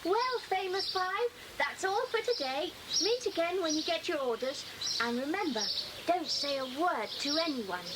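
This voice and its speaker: A woman's voice: very high voice, expressive, moderate pace. A woman playing a bossy, mischievous schoolgirl in a children's audio drama, boastful and conspiratorial, on an old cassette recording. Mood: mock solemn. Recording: noisy old cassette transfer.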